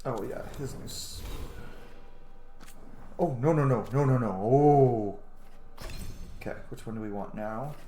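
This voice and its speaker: A man's voice: medium pitch, a little slow, long pauses, a little hiss or hum. A man in his thirties giving blunt, sweary, casual commentary on a video game he is playing. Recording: roomy sound under loud game music. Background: loud game music.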